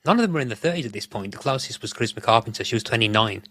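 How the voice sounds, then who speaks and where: A man's voice: lowish voice, expressive, rapid-fire, fairly bright tone, dry recording. A man chatting casually as a podcast guest, explaining things in a rambling way. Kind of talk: casual chat.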